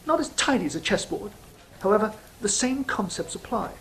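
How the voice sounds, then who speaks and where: An actor playing a sly, cynical fallen angel in an audio drama, speaking in a measured, philosophical, teasing way. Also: medium pitch, sing-song, fairly bright tone, slight background noise. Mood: worldly, dismissive. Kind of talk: theatrical audio drama dialogue and monologue.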